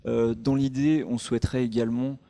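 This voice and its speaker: A man presenting a book platform at a meetup, speaking haltingly, with many fillers and restarts. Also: medium pitch, level tone, creaky, warm tone. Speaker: startup co-founder presenting at a meetup. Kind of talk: conference presentation.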